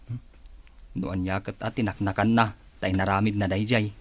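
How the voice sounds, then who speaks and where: A man playing a curious, gossipy office employee with a husky voice and a Filipino accent in a radio drama. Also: fairly low pitch, little pitch movement, moderate pace, natural voice.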